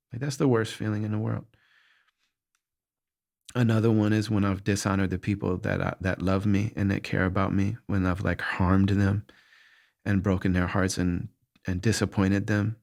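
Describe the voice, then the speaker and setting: A man's voice: on the low side, vocal fry, darkish tone. A middle-aged man hosting a podcast, talking candidly and earnestly about hard moments, often restarting his thoughts as he searches for words. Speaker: podcast host answering listener questions. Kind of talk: candid reflective monologue.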